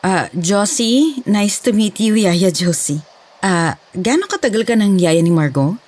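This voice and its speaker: A woman playing a curious, easily startled schoolteacher in her thirties in an audio horror drama, inquisitive and expressive. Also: medium pitch, measured pace, slight background noise, close to an everyday voice. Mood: friendly curiosity. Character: curious, startled 38-year-old female schoolteacher. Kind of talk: dramatized horror story dialogue.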